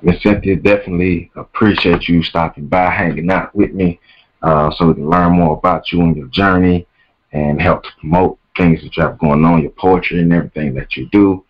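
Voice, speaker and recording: A man hosting his podcast in a very deep, smooth voice. He talks in a laid-back way, repeating himself and using casual slang. The recording sounds dull and lo-fi. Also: steady tone, brisk. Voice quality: smooth "quiet storm" voice. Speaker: podcast host. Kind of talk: casual show wrap-up.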